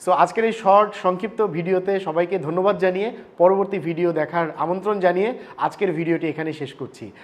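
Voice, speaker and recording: A man's voice: high voice, fairly quick. A man with a Bangladeshi accent talking casually and earnestly as a vlogger and consultant, politely and with English words mixed in. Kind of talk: casual vlog talk and phone chat.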